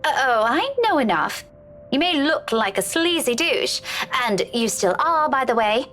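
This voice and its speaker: A woman's voice: high voice, big pitch swings, measured pace, fairly bright tone, slight background noise, exaggerated voice. A woman voicing a blunt, teasing friend in a visual novel game, exasperated but caring. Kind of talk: dramatic visual novel voice acting.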